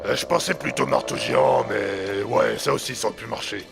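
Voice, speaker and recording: An actor playing a gruff, foul-mouthed Santa Claus who bosses his helpers bluntly in a comic audio drama. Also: fairly low pitch, brisk. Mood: sardonic, commanding. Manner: sardonic, bossy, blunt. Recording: music behind the voice. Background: music.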